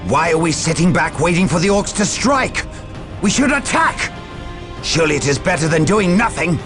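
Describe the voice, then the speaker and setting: An actor playing a dutiful but impatient military colonel in a video game cutscene, speaking formally and emphatically to a superior. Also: male voice, fairly high pitch, lively intonation, moderate pace, natural voice. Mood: indignant, urging. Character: male Imperial Guard colonel, dutiful, anxious, impatient officer. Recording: music behind the voice. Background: music.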